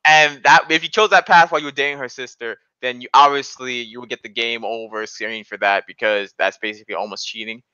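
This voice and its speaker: A man's voice: medium pitch, steady tone, fairly quick, few pauses, sharp tone, clear, resonant tone, no echo. A young man co-hosting a podcast, chatting casually with friends in an opinionated, slangy way. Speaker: podcast co-host. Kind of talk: casual animated chat among friends. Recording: dull, muffled online voice chat.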